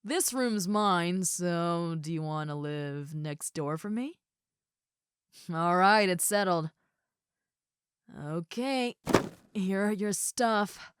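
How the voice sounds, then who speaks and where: A woman's voice: lowish voice, slow pace, pauses often, clean tone, dry recording. A woman playing a muscular female ogre roommate in a roleplay audio, friendly, easygoing and caring, with a casual, chatty way of talking and hesitant asides. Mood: easygoing, helpful. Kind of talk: roleplay audio acting, addressed to the listener.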